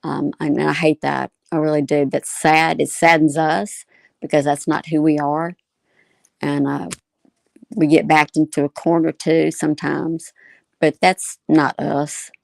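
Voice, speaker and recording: A woman's voice: deep voice, little pitch movement, unhurried, vocal fry, a little mellow, dry recording. A woman co-hosting a casual livestream, talking in an earnest manner. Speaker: livestream co-host.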